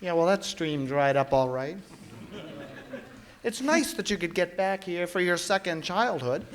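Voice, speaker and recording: Cheeky wisecracking boy dummy played by an actor, lively. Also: medium pitch, a little slow, close to an everyday voice, rough recording. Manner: teasing, quippy. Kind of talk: 1940s radio comedy acting. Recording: live stage show with audience.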